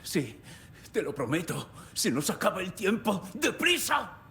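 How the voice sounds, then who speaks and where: Haunted desperate writer, agitated delivery, animated pitch, video game drama, light noise behind. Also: male voice, measured pace, creaky. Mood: desperate, urgent. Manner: urgent, agitated. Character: frantic, haunted male writer pleading urgently. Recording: faint background rumble and noise.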